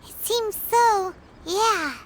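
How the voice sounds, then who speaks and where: A woman voicing a tiny childlike female fairy companion in a video game, with a squeaky voice and an earnest, plain-spoken manner. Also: extremely slow, exaggerated voice. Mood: tentative.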